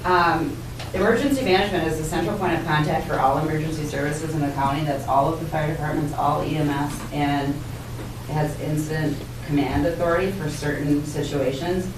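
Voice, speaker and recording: A woman official explaining things off the cuff at a public meeting, in a halting way. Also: deep voice. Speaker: county emergency management director and public information officer. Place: public meeting room indoors. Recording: echoey room from far off, noisy.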